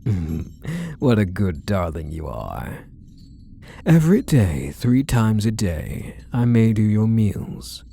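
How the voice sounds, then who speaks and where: A man playing a possessive, doting stalker husband in an intimate ASMR roleplay, speaking softly with coaxing, condescending endearments. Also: on the low side, sing-song, slow, creaky, husky, full character voice. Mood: tender, possessive. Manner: soft, coaxing, condescending endearments, lingering phrases. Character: possessive, doting male yandere stalker husband, sweetly menacing.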